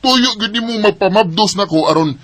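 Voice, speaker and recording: A man's voice: sits high, brisk, fairly bright tone. An actor playing a callous young bachelor who refuses fatherhood, accusing and dismissive, with a Filipino Cebuano accent in a radio drama. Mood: defensive, accusing. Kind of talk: Philippine radio drama acting.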